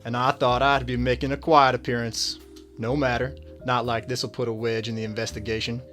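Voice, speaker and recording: A man's voice: medium pitch, little pitch movement, fairly quick, a bit bright, soft background music, natural voice. A man playing an unbothered, theatrical detective in a radio drama, wry and formal. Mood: unruffled. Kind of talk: audio drama acting.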